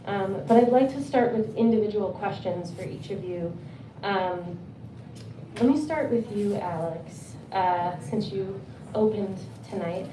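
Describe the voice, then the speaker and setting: A woman's voice: mid-range voice, measured pace. A woman moderating a live Q&A at a bookstore poetry reading, asking questions in a friendly way with frequent fillers. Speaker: event moderator. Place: bookstore event space. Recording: roomy, distant, noisy room sound.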